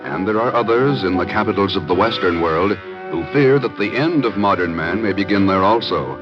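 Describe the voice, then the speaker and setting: A man's voice: sits low, animated, normal speed. A man announcing and narrating in a declamatory 1950s radio style. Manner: declamatory, theatrical. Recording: muffled old radio recording, loud music.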